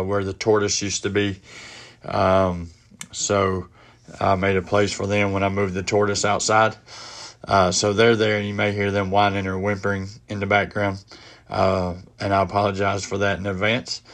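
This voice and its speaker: A middle-aged man with a head cold hosting a casual solo podcast from his home office, speaking haltingly with many fillers and restarts. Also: fairly low pitch, fairly bright tone. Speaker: podcast host.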